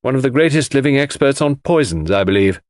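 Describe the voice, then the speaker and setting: A gloomy country gentleman, acted by a male reader, curt and frankly bitter. His audiobook lines sit raised in pitch and move up and down freely. The sound is dry and close. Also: expressive, a little fast, no echo, close to an everyday voice. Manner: abrupt, grumbling, frank. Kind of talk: audiobook character dialogue.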